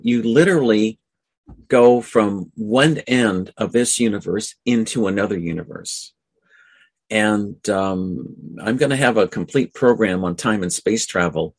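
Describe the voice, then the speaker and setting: A man hosting a radio talk show in an informal monologue, halting and often repeating or stumbling over his phrases. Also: fairly low pitch, husky, no room sound.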